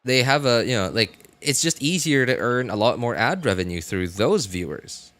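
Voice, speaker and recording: A man's voice: mid-range voice, animated, normal speed, a bit bright, no echo. A man talking casually to live stream viewers while he plays, rambling and thinking aloud as he repeats himself. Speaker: live streamer, gaming content creator.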